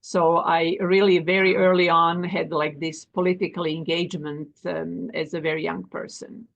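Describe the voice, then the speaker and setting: Croatian woman guest, soft and low. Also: little pitch movement, normal speed, gravelly, highs cut off. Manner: hesitant, many fillers. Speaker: guest, professor and dean. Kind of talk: interview conversation.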